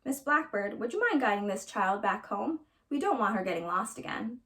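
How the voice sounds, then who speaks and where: A woman's voice: mid-range voice, expressive, normal speed, pushed and bright, recorded from a distance. Woman playing a stern, motherly mama bear. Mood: gently motherly. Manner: stern, scolding. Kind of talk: animated storybook read-aloud.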